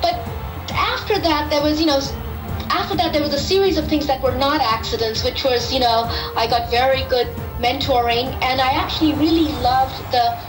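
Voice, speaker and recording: A woman's voice: sits high, steady tone, normal speed, music in the background. Thoughtful woman in an echoey room. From Pakistan. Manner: thoughtful, repeats words while forming ideas. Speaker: physicist interviewee. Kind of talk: explanatory interview answers.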